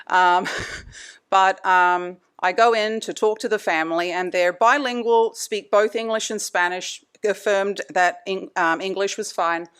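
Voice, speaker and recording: A middle-aged woman from Australia speaks with an Australian accent at a middle pitch. Her voice is smooth and clear. She presents a lecture in a chatty way, laughing now and then between points. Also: steady tone, unhurried, a bit bright. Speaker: anesthesia department leader giving a talk. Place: conference room.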